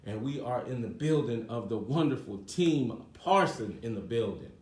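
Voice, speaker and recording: A man's voice: mid-range voice, expressive, moderate pace, a little mellow. A man hosting a community event in a casual, slangy, joking way. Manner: informal, slangy, joking with the room. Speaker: host, community news presenter. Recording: echoey room, distant mic, faint noise.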